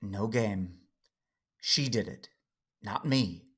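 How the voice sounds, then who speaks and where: Weary loyal man, low husky voice, unhurried, dry, guarded, audio drama. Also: exaggerated voice. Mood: defensive.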